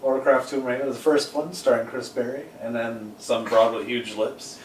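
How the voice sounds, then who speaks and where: A man's voice: medium pitch, level tone, normal speed, a little mellow. A man co-hosting a casual group chat, blunt and sarcastic with a mock-boastful manner. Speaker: podcast co-host. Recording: echoey room, distant microphone, background noise.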